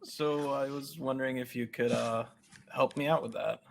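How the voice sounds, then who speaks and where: A man reading a gravelly, awkward male coach. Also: medium pitch, steady tone, normal speed, a little hiss or hum, close to an everyday voice. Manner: shouts, then mumbles awkwardly. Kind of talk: podcast table read.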